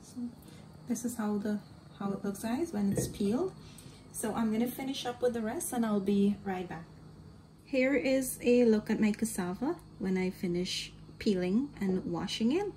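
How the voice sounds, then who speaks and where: A woman with a Guyanese accent hosting a home cooking tutorial, giving calm step-by-step instructions and often addressing viewers as "guys". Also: mid-range voice, moderate pace, rough recording. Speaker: cooking channel host. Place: home kitchen. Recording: home recording with audible kitchen noise. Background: dishes, pots and kitchen clatter.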